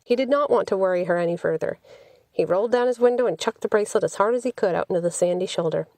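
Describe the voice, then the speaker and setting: A woman's voice: medium pitch, quick pace, darkish tone, no echo. A woman with an American accent reading a scripted story as an audiobook narrator. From United States.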